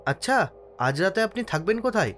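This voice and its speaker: A man performs a humble village helper in a horror cartoon. The voice is high for a man and swings animatedly, deferential yet cautioning. Music runs beneath it. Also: sing-song, fairly quick, a bit bright. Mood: agreeable, humble. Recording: music under the voice.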